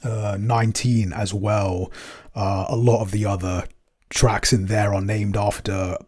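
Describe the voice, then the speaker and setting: A man co-hosting a casual podcast Q&A, explaining things in a hesitant, measured way. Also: lowish voice, flat delivery, a little mellow. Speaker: podcast co-host and producer. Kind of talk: casual Q&A chat.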